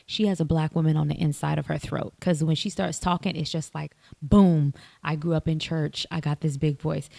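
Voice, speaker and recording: A woman guest on a podcast, telling stories in an animated way and laughing often. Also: low-pitched, gravelly, no echo. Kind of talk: casual podcast conversation.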